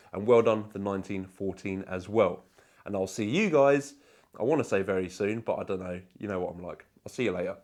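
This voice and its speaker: A hoarse man, chatting upbeat to camera in a casual review vlog, with lots of fillers. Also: fairly low pitch. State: hoarse from a cold. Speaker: magic product reviewer.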